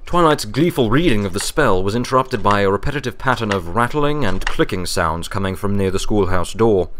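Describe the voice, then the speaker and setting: A man's voice: fairly low pitch, expressive, a little fast, fairly bright tone, slight background noise. A man narrating a scripted fantasy story as an audiobook reader.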